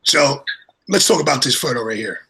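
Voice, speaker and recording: A man from Brooklyn with a New York accent, chatting casually over a video call, swearing and excitedly repeating "you know what I mean". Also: mid-range voice, fairly quick, gravelly, breathy edge.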